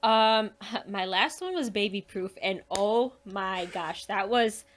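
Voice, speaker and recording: Chatty woman, mid-pitched, casual. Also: slow, a little hiss or hum. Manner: chatty, informal, laughs readily. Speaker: podcast guest. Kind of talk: casual book chat.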